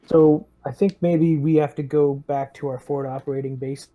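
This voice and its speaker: A man's voice: a little high, fairly even intonation, moderate pace, phone-quality sound. A man playing a tabletop game, chatting casually and thinking aloud in a tentative way. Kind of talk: casual chat.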